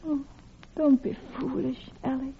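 A woman's voice: sits high, level tone, a little slow, vocal fry, slight background noise. An actress playing a guilty, lovelorn married Englishwoman in an old radio drama, with a clipped, genteel English accent and wistful restraint. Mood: subdued, sad. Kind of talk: old radio drama acting, first-person narration. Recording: muffled old radio recording, music behind.

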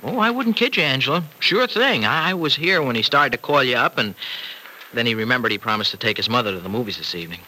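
An actor playing a chatty, teasing young farmer in a 1940s-style radio soap opera, on a noisy old recording. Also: male voice, medium pitch, expressive, fast talker, sharp tone. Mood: teasing, friendly.

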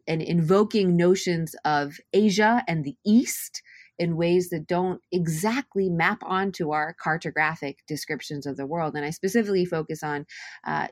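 Woman scholar, low-ish voice, dry sound, reflective and searching, frequent fillers. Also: no room sound. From Japan. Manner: thoughtful, hesitant with many fillers. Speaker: historian and author. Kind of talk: academic interview.

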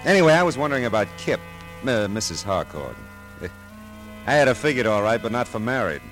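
An actor playing a wry, world-weary private detective who narrates and talks in a deadpan radio drama style. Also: male voice, mid-range voice, a little fast, faint music behind. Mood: brooding narration. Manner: deadpan, hesitant asides, dry wit.

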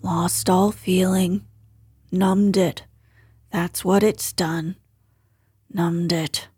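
Gloomy old storybook donkey played by a woman: low, slow, grumbling, mellow. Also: steady tone, husky, dry recording, clean studio sound, heavily acted. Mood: mournful, grumbling. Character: gloomy, self-pitying old male donkey. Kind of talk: children's storybook character voice.

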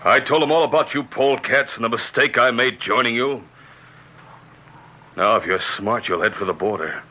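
A man's voice: mid-range voice, normal speed, sharp tone, lightly acted. A man playing a stern, protective rancher father and reformed outlaw in an old radio western. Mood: stern defiance. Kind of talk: 1950s radio western acting. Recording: noisy old radio recording.

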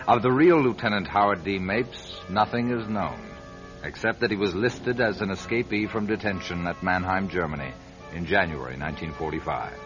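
A man narrating a radio drama in a grave, deliberate storytelling manner. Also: low voice, music in the background. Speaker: narrator and writer-director. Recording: dull lo-fi radio recording.

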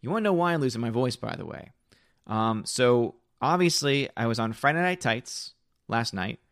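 Slightly hoarse man chatting on stream. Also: medium pitch, expressive, moderate pace, fairly bright tone, no echo, clean studio sound. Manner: rambling, restarts and reads chat aloud. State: slightly hoarse, losing his voice. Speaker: live streamer. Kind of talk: casual live-stream chat reading comments.

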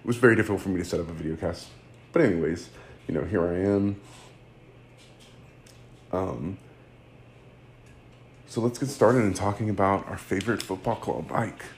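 A man's voice: sits low, moderate pace, pauses often, slight background noise. A man, hosting a casual solo sports podcast and rambling with fillers and self-corrections. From United States. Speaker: podcast host.

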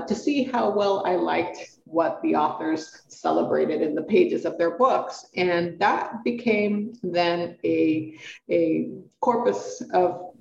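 A middle-aged woman from Puerto Rico, a professor answering interview questions in a thoughtful, measured way with pauses and restarts. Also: fairly low pitch, creaky, a little mellow, echoey room, dull audio, slight background noise. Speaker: guest, English professor.

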